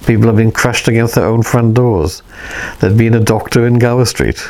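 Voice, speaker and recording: A man reading aloud and voicing a small, unpleasant invisible deity that speaks in a quiet, insinuating way. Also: mid-range voice, normal speed, close to an everyday voice.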